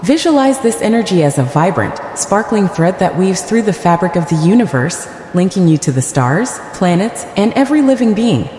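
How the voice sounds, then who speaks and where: A woman leading a scripted guided meditation in a gentle, soothing, deliberate manner. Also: lowish voice, big pitch swings, roomy sound, big-room sound, slight background noise.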